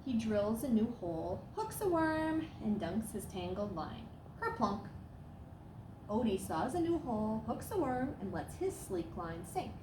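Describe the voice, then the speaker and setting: A woman reading a children's storybook aloud as a storyteller, with expressive, dramatic emphasis. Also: fairly high pitch, a little slow. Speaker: staff storyteller. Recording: roomy echo, clear background noise.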